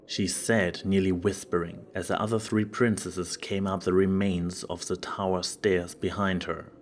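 A man with an extremely low voice narrates a written story. There is some background noise behind his reading. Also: level tone, a little slow.

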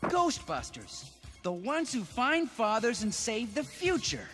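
High-voiced, earnest young cartoon hero. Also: male voice, huge pitch swings, slow pace, full character voice. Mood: confident. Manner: earnest, decisive. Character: earnest, brave young blond male ghostbuster leader. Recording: long reverb, loud music underneath.

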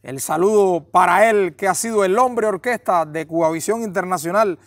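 A man's voice: sits high, normal speed, no room sound, clean studio sound. A man co-presenting a television sports programme outdoors. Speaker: sports TV co-host.

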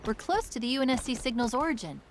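A woman's voice: on the high side, fast talker, noisy background. A woman playing a young, curious female AI companion in a video game, speaking earnestly and expressively. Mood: briefing, alert. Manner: inquisitive, expressive, articulate. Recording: loud music behind the voice.

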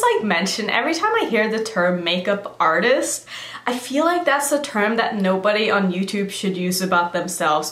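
Casting: thirties woman vlogger, mid-pitched, loose and filler-heavy, recorded in a roomy space. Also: a bit bright. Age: 30s. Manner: chatty, lots of "like" fillers. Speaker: beauty vlogger. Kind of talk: casual Q&A vlog. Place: decorated room indoors. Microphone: camera framing head and shoulders.

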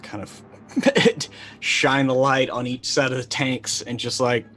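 A man's voice: mid-range voice, level tone, unhurried, fairly bright tone. A middle-aged man playing a role-playing game, casually thinking aloud as he decides what his character does. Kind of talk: casual improvised game play. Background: faint background music.

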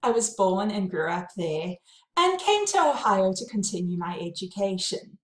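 South African woman lecturer, lively pitch. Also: moderate pace, echoey room. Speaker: university lecturer. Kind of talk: course welcome lesson. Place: home study with bookshelves, indoors.

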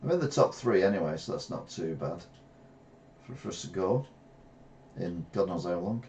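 A middle-aged English man streaming a retro racing game, reacting excitably and trash-talking rivals from a gaming chair at home. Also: low voice, moderate pace, a little hiss or hum. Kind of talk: live gameplay commentary. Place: small room at home, seated in gaming chair.

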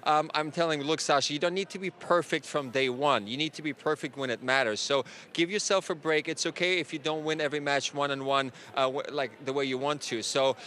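A man's voice: a little high, brisk, few pauses, sharp tone, a little hiss or hum. A man in his thirties, a former player, gives casual tennis analysis outdoors at night into a handheld mic, hesitating with fillers and restarts. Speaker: former player as remote guest. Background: faint crowd and street ambience.

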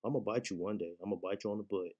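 A man's voice: on the low side, very fast, darkish tone, highs cut off, lightly acted. A man voicing an indignant male pet dog in a comedic animal voiceover, slangy, stammering and complaining. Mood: annoyed. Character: indignant male pet dog talking back to his owner.

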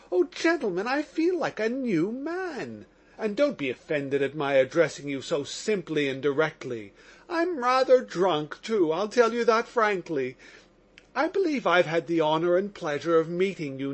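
A man's voice: sits high, very animated. A man voicing an agitated, slightly drunk young man under suspicion, talking nervously and effusively. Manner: nervous, rapid, pleading.

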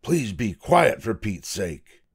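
An older man voicing a grumpy middle-aged husband who talks gruffly. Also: fairly low pitch, sing-song, takes it slowly, pitched above the performer's own voice, full character voice.

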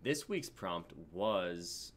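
A young man with a very low, bright voice chats casually as a stream host. His video call audio has a faint layer of noise. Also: expressive, extremely slow. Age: 20s. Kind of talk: casual livestream chat. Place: indoor room on a video call.

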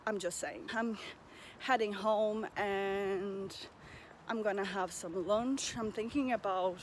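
A woman's voice: mid-range voice, fairly even intonation, slow, a little hiss or hum. A woman in her thirties chatting casually to camera for her vlog, bubbly and laughing often. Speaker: vlogger. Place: small room at home, indoors. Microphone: camera held at arm's length.

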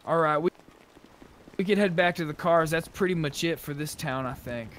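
A man's voice: fairly high pitch, normal speed, a bit bright, a little hiss or hum. A man chatting casually with other players during an online zombie survival roleplay game.

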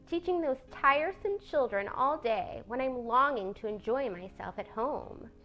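A woman's voice: sits high, expressive, measured pace, muffled recording, soft background music. A woman voicing a prim, responsible teenage elder sister with an earnest, lecturing manner in a storybook reading. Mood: wistful.

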